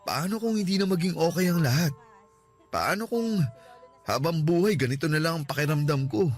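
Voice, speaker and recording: A man's voice: fairly high pitch, expressive, normal speed. An actor playing a grieving young man who speaks in a subdued, hesitant way to his friend in a Filipino radio drama. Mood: sorrowful. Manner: subdued, hesitant, self-deprecating. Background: soft music.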